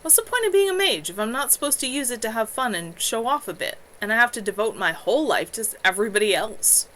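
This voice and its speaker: A woman's voice: fairly high pitch, big pitch swings, quick pace, fairly bright tone. A woman voicing a cocky, playful young male elf mage while reading her novel aloud.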